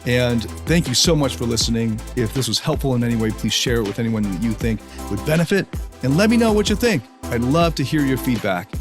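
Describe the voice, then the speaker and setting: A man hosting a podcast alone, recovering from a head cold that lowers his voice, talking in an earnest, preachy way in long run-on lists, in an echoey room while traveling. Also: animated, fairly quick, over music. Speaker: podcast host. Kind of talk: solo podcast monologue.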